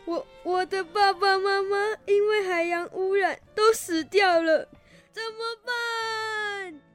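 A grieving little coral, wails slowly in an extremely high voice. It is a helpless, tearful radio-play creature. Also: female voice, steady tone, a bit bright, soft background music, full character voice. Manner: wailing, tearful.

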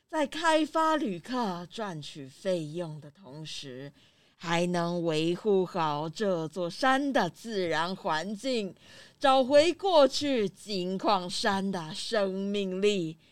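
A woman playing a gentle, wise old grandfather in a children's audio drama. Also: fairly low pitch, no room sound. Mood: relieved.

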